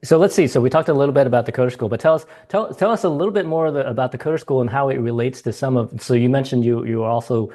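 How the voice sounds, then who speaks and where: Very low, mellow-voiced American woman. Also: very fast, hardly any pauses. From Iowa, US. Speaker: podcast host. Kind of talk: casual interview chat.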